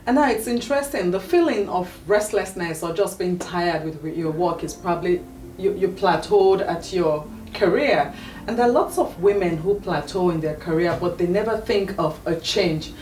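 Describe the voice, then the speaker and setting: A woman hosting an interview show, welcoming her guest formally and posing long, drawn-out questions. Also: lowish voice, darkish tone, recorded from a distance, a little hiss or hum. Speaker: talk show host. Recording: echoey room with faint music, away from the mic.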